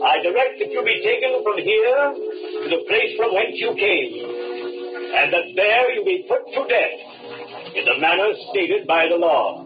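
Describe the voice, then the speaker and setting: Solemn judge, high voice, ponderous, formal, vintage radio drama, muffled recording. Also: male voice, distant mic. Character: stern, solemn male judge pronouncing sentence. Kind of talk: 1930s radio drama acting.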